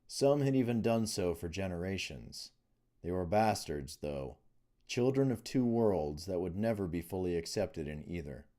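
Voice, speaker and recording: A man narrates a fantasy novel as its author, reading from the written text. His voice sits low, with a dark, soft-edged timbre. The delivery is that of scripted audiobook storytelling. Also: lively intonation, moderate pace. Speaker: author reading own book.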